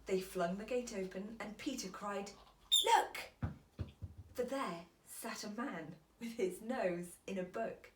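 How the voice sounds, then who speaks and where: A woman reading a children's storybook aloud as a teacher, in an expressive, deliberate storytelling manner. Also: mid-range voice, roomy sound, background noise.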